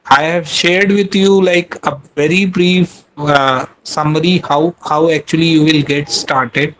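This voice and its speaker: A man's voice: a little high, fairly even intonation, measured pace, a bit bright, slight background noise, rough recording. A man giving a webinar talk, hesitant, with many fillers and restarts. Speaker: guest speaker.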